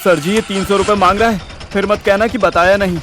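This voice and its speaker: A man's voice: sits high, fairly even intonation, fairly quick, a bit bright, slight background noise. An actor playing a petty, whiny young male sidekick in a comic audio drama. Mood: grumbling. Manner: whiny, complaining. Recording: music bed behind voice.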